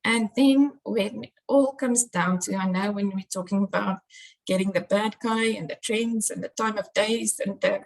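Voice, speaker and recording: A woman's voice: mid-range voice, normal speed, gravelly, rough recording. A middle-aged woman, giving an earnest conference talk on crime analysis, with frequent ums. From South Africa.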